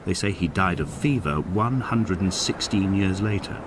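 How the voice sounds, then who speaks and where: An actor playing a solitary, melancholy middle-aged man, narrating in a measured, solemn, literary style for a game. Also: low voice, background noise, close to an everyday voice. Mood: wistful, reflective. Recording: music behind the narration. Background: clear background music.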